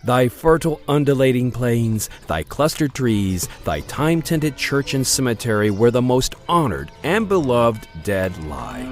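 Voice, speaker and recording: A man narrating a period radio drama in a florid, expressive storytelling manner. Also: medium pitch.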